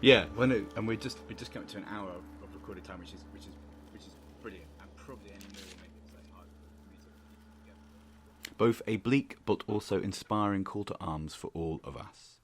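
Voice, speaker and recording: A man hosting an interview podcast, speaking in hesitant, halting phrases and restarting often. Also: fairly low pitch. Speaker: host.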